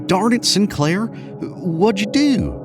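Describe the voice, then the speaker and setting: A man's voice: high-pitched, big pitch swings, slow pace, over music, pitched above the performer's own voice, exaggerated voice. A man playing a young, cocky American cowboy in an audio horror story, irritable and exasperated.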